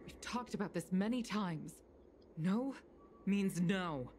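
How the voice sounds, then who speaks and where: A woman's voice: medium pitch, lively intonation, slow, darkish tone, a little hiss or hum. An actress voicing a stern, dignified, weary mother in a video game, speaking formally and with measure. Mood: firm.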